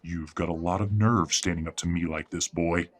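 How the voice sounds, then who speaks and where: A man's voice: low-pitched, fairly even intonation, normal speed, breathy edge. A man playing a proud, domineering older werewolf father in an audio drama, condescending and menacing.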